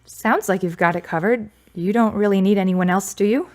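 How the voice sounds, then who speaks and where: A woman's voice: mid-range voice, brisk, close to an everyday voice. A woman playing a frank, self-assured character in a radio drama, speaking in an articulate, wry, wordy way. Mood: wry, teasing.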